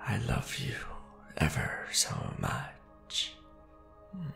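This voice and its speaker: A man voicing an ancient vampire lord in an ASMR roleplay, courtly and devoted yet ruthlessly ambitious, speaking formally and grandly in deliberate phrases with a gravelly voice. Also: wildly swooping pitch, fairly bright tone, soft background music, full character voice. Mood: adoring. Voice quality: deep, gravelly.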